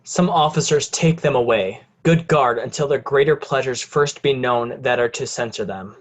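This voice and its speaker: A man acts as a calculating villain of noble birth in a stage tragedy. He speaks old verse in a measured, commanding way, cold and full of ambition. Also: medium pitch, lightly acted. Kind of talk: Shakespearean verse read in reader's theatre.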